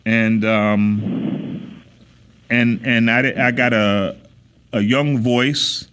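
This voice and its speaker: An American man hosting a talk radio show, speaking casually and haltingly, often repeating words as he searches for the next one. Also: mid-range voice, steady tone, fairly bright tone, slight background noise. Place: radio studio.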